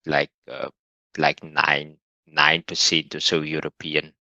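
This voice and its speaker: A man's voice: extremely low pitch, monotone, slow pace, sharp tone, no echo. A South African man in his late thirties, a podcast guest chatting in a laid-back, slangy way and laughing easily. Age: late 30s. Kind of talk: casual conversation.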